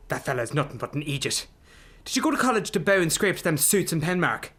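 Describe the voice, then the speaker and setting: A middle-aged Irish father in a radio drama. He is blunt and needling, a worried man who talks with gruff sarcasm. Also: a little high, big pitch swings, fairly quick, fairly bright tone. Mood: exasperated. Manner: blunt, sardonic. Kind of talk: naturalistic radio drama acting.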